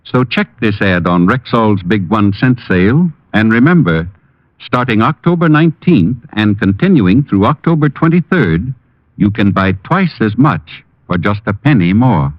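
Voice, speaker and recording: Male sponsor announcer, lowish voice, very lively intonation, polished emphatic selling, dull vintage radio sound. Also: normal speed, dry recording. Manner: polished, emphatic sales delivery. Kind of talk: 1950s radio commercial read. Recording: dull, dry old radio recording.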